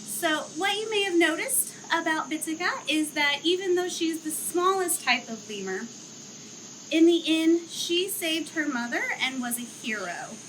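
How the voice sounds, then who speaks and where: A young woman who works at a zoo reads a children's picture book aloud outdoors, in an expressive storytelling manner. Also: very high voice, slight background noise, low-quality recording. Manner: slow, expressive storytelling, livelier chat afterward. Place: outdoor zoo enclosure with trees.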